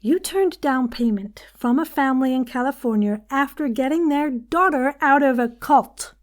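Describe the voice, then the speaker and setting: A woman's voice: sits high, moderate pace, natural voice. A woman playing a persuasive, good-humored partner in an audio drama, conversational and insistent. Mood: teasing, insistent.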